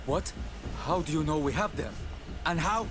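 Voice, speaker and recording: A man's voice: on the high side, animated, normal speed, noisy background, lightly acted. A man playing a defiant, bitter young exile in a video game, indignant and emphatic. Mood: startled, suspicious.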